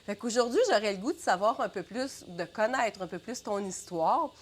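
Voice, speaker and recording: A woman's voice: mid-range voice, moderate pace. A woman with a Québécois accent interviewing a guest, friendly and prompting, laughing easily. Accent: Québécois French. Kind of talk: casual interview.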